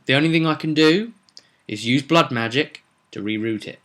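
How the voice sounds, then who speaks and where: A man's voice: on the high side, moderate pace, fairly bright tone, voice pushed up, close to an everyday voice. A man voicing a grave, blunt blood mage lord in a tabletop fantasy game, speaking with insistent emphasis.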